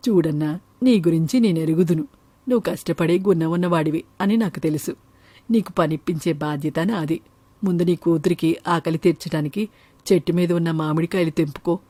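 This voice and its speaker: Woman acting a mellow, kind village woman. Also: lowish voice, brisk, no echo, pitched below the performer's own voice, close to an everyday voice. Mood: kindly. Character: kind, practical village woman who owns a banana grove. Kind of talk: animated moral story acting.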